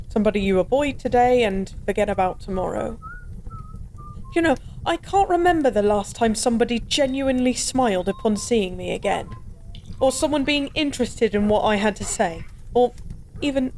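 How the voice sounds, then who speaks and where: A woman's voice: medium pitch, warm tone, lightly acted, poor audio. A woman playing a drunk, melancholy stranger who was once a god, confiding in a wistful, rambling, hesitant way in an intimate audio roleplay. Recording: clear background ambience noise. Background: bar ambience.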